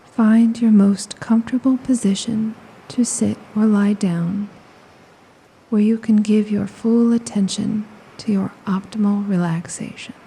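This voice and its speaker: A woman guiding a hypnosis meditation in a soft, breathy, soothing voice, often near a whisper, with unhurried phrases and long pauses. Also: mid-range voice, steady tone, poor audio. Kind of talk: slow guided meditation, hypnosis narration. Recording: faint ambient noise of ocean waves. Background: ocean waves.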